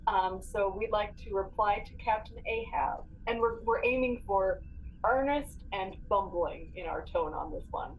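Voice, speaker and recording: A woman's voice: mid-range voice, a little slow, a little hiss or hum, low-quality recording. A woman playing a tabletop role-playing game, casually talking through her decisions.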